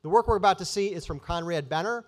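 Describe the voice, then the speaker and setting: A middle-aged man hosting a conference from a stage microphone, introducing the next speaker in a relaxed way with a few fillers. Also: high-pitched, fast talker. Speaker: event host introducing a speaker.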